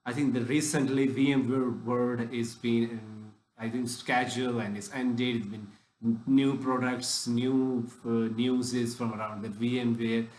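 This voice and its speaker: A man's voice: mid-range voice, level tone, measured pace, darkish tone, reverberant, poor audio. A man hosting a tech podcast who asks loose, rambling questions with broken phrasing and frequent restarts. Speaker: podcast host. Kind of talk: casual interview questions.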